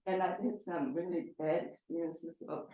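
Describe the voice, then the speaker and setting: A woman whose first language is Korean asks the panel a question in an earnest, halting way, pausing to find her words. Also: fairly low pitch, mellow, slight background noise. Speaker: audience member asking a question. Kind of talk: personal question at a public Q&A. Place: large echoey event hall. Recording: roomy hall echo.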